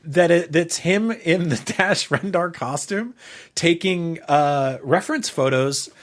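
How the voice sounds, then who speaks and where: A middle-aged man chatting casually as a podcast co-host, rambling and halting, with colorful comparisons. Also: a little high, expressive. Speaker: podcast co-host, video host and writer. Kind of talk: casual conversational podcast chat.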